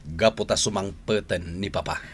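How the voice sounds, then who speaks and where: A man's voice: deep voice, fairly even intonation, moderate pace, a little hiss or hum, close to an everyday voice. An actor playing an earnest, troubled younger man in a radio drama, emphatic and with an Ilocano accent. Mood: insistent.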